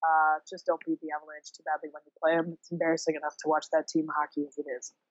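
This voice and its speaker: A woman's voice: deep voice, completely flat delivery, quick pace. A woman co-hosting a sports podcast, chatting casually with wry, flippant asides. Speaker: podcast co-host. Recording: dull, roomy, lo-fi recording.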